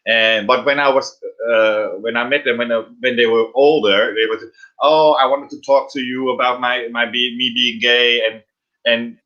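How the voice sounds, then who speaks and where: Dutch-accented man with a bright, dull-sounding voice. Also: mid-range voice, fairly even intonation. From Netherlands. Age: middle-aged. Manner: halting, repeats words, laughs often. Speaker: drag performer and guest. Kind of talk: casual interview chat.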